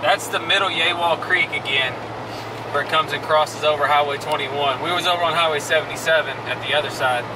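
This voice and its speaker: Folksy Texas man over loud road noise. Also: a little high, fairly even intonation, a little fast. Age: middle-aged. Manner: folksy, plain-spoken. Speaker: farm vlogger. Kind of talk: casual chat while driving. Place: inside a moving pickup truck cab. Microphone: camera held from passenger seat. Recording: distant mic, loud road and vehicle noise.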